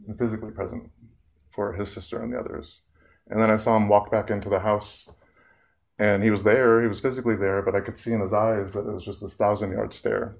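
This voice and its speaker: A man with a low voice and a gravelly edge gives a reflective talk. He speaks earnestly and at a measured pace, pausing now and then with fillers as he works through a point. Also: darkish tone. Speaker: therapist giving a talk.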